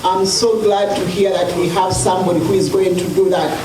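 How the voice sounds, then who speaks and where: A woman's voice: on the low side, fairly even intonation, fairly quick, noisy background. A woman gives impromptu remarks to a gathering, often repeating and restarting her phrases. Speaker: speaker at community gathering. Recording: echoey hall, distant mic, loud music.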